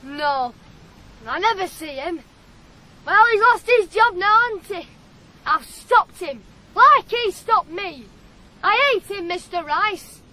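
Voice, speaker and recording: An actor playing a brash, defiant young ploughboy in a radio drama, blunt and insolent. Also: male voice, extremely high pitch, animated, slow pace, long pauses, sharp tone, exaggerated voice, rough recording. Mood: bitter.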